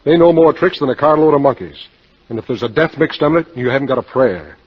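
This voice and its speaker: Muffled vintage radio sound frames a hardboiled man with a husky voice. He is a cynical insurance salesman who talks in terse, slangy wisecracks, in fifties noir style. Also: medium pitch, expressive, quick pace. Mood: hard warning. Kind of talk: 1950s radio drama acting, hardboiled noir. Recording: dull, lo-fi 1950 radio broadcast.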